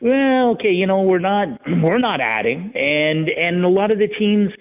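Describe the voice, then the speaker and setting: A man giving casual sports analysis as a guest over the phone, rambling and halting as he thinks. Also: sits high, animated. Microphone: phone call. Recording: tinny narrow phone line.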